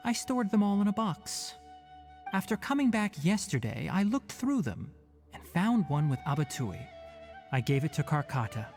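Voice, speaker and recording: A youthful forest watcher in an anime game. He is a dry, exacting scholar, strict but caring, with a very mobile pitch. Soft music sits behind his voice. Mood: quietly fond. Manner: dry, matter-of-fact, precise, slightly testy.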